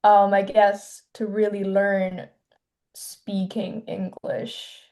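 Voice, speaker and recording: Soft-spoken young woman from China. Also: medium pitch, little pitch movement. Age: 20s. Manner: soft-spoken, hesitant, many pauses and restarts. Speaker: filmmaker guest. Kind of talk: casual interview.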